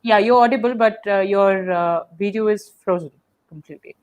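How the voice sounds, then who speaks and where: Woman hosting a webinar over a video call, mid-pitched, lots of ums and restarts. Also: moderate pace, darkish tone, dull audio. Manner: thoughtful, many fillers and restarts. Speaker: webinar host. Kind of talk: casual webinar conversation.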